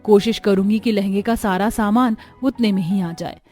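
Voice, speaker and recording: A woman voicing a humble, gentle young daughter from a poor family in a cartoon story, speaking softly and earnestly. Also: medium pitch, normal speed, soft background music, close to an everyday voice. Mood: earnest, hopeful.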